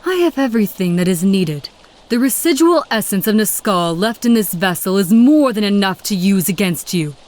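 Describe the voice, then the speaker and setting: A woman's voice: medium pitch, lively intonation, moderate pace, a little mellow, lightly acted. An actress voicing a determined, zealous female agent of a secret order in a video game, solemn and poised. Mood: resolute, menacing. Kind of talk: dramatic video game dialogue.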